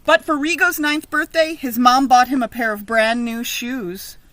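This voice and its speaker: A woman reading a children's story aloud in an expressive, teacherly narrating style. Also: high voice, a little slow, slight background noise.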